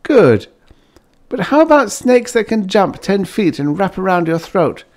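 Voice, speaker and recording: Storybook pioneer husband acted by a male reader: upbeat, boastful, earnest, very animated intonation. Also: high voice, unhurried, pitched above the performer's own voice. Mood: eager.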